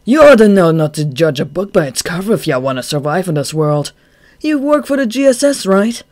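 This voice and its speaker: A man voicing a brash, hot-headed young man who taunts his enemies loudly and cockily. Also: fairly high pitch, normal speed, no echo, clean studio sound, close to an everyday voice. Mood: taunting. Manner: loud, cocky, taunting.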